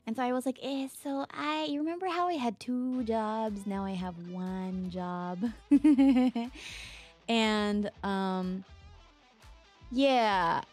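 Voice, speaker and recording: A woman's voice: medium pitch, darkish tone. Chatty young woman streamer from the Philippines. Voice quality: mezzo-soprano singing voice. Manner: chatty, rambling with frequent fillers and laughs. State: recovering from a sore throat, low voice. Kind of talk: casual chat with chat readers.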